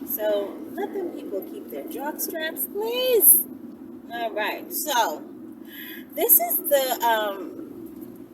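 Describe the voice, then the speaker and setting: A woman's voice: high-pitched, huge pitch swings, very slow, pauses often. Woman chatting to her viewers, really emphatic, lots of rhetorical questions, mic kinda far off and pretty noisy. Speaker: video host answering viewer questions. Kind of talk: casual chat to camera. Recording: distant mic, clearly noisy background.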